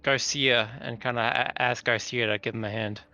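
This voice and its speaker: A man describing his character's actions as a tabletop player, informal and a little halting. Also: medium pitch, steady tone, sharp tone. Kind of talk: casual in-game roleplay and description. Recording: dull, slightly lo-fi close microphone.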